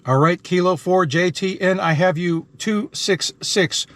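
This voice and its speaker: A man with a slightly high voice works an amateur radio from a car. He moves between casual vlog narration and clipped phonetic-alphabet calls. Clear background noise sits behind him. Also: unhurried. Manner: spells out call signs and numbers in phonetic alphabet. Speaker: amateur radio operator and vlogger. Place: inside a car, mobile radio setup.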